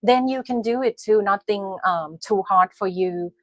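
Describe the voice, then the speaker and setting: A woman's voice: mid-range voice, unhurried, no echo. A middle-aged woman from Thailand with a Thai accent, speaking hesitantly with many fillers as an interview guest. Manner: hesitant, many fillers, non-native grammar. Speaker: guest, aesthetician. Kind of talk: casual interview.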